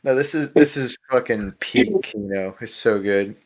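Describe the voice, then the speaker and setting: A man's voice: lowish voice, unhurried. A man hosting a casual discussion podcast, talking in an informal, rambling way with plenty of swearing. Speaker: podcast host.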